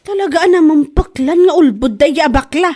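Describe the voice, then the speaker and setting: A woman playing a quarrelsome, gullible, indignant woman in a comedy audio drama, with an Ilocano accent and drawn-out exasperated delivery. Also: high-pitched, expressive, darkish tone. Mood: indignant, scolding. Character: quarrelsome, gullible woman accusing a bag seller of lying. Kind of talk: Ilocano comedy radio-style drama acting.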